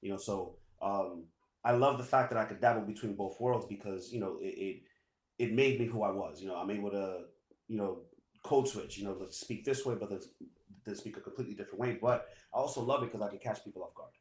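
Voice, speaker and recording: Relaxed New man, echoey room. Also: lowish voice, a little fast. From New York. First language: Spanish. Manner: relaxed, many "you know" fillers. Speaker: guest, assistant principal. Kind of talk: casual interview storytelling.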